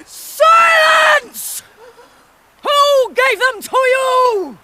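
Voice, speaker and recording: A woman's voice: extremely high pitch, lively intonation, extremely slow, bright, forceful tone, raised voice, full character voice. A woman playing a cruel, imperious witch queen in a radio drama, with a British accent and a haughty, commanding, theatrical manner. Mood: enraged. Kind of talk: dramatic radio play acting.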